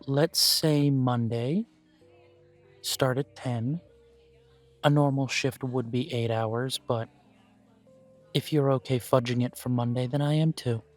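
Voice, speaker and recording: A man voicing a polite male elf who owns a coffee shop, interviewing the listener for a job in a calm, formal way. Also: medium pitch, lightly acted. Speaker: shop manager interviewing an applicant. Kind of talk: audio roleplay, talking to the listener.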